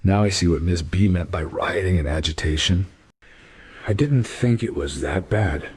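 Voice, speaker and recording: An actor playing a measured, probing detective inspector with a husky voice in a crime radio play. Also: male voice, low voice. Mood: reflective. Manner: calm, measured questioning.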